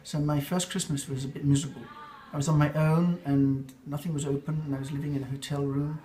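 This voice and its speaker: A man's voice: fairly high pitch, level tone, fast talker, warm tone, a little hiss or hum. An older man with an English accent, answering interview questions in a reflective, rambling way with fillers and restarts. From England.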